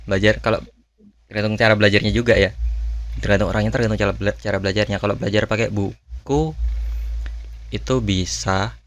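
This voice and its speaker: Male host, lower register, informal and musing, for a relaxed question-and-answer chat. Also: brisk, long pauses. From Indonesia. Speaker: language channel host answering viewer questions. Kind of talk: casual Q&A chat.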